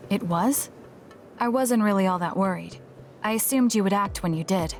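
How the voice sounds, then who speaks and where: A woman's voice: mid-range voice, moderate pace, soft background music, natural voice. An actress playing a stoic, blunt young woman fighter in a video game, speaking in a matter-of-fact, terse way. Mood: calm, frank. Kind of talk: English video game voice acting.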